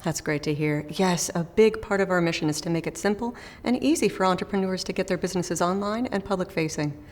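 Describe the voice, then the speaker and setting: A woman's voice: on the low side, fairly quick, darkish tone, in a large hall. A woman playing a recruiter in a scripted phone interview, with a friendly, professional manner. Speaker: recruiter in role-play.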